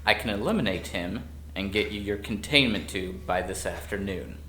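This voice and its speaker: A man playing an insolent lone special investigator in an audio drama, with a sardonic, mocking deadpan. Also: fairly low pitch, normal speed. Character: lean, predatory male special investigator, insolent lone operative. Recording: echoey room, faint noise.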